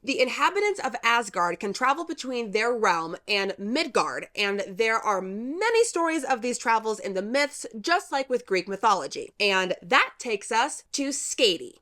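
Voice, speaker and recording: A woman's voice: a little high, big pitch swings, normal speed, few pauses, bright, forceful tone. Congested, bubbly young woman vlogger. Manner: bubbly, playful, laughs often. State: congested nose. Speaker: YouTube host. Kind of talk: casual chatty storytelling vlog. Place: home room with humidifier.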